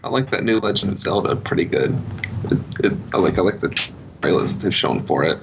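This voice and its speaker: A man with a gravelly, creaky voice chatting casually on a podcast panel. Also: sits low, animated, brisk, background noise. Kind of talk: casual chat.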